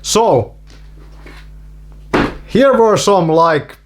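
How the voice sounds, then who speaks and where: A man from Finland with a Finnish accent, a native Finnish speaker talking in English, halting with many restarts and repeated fillers in a casual monologue. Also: sits high, animated. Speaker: video creator.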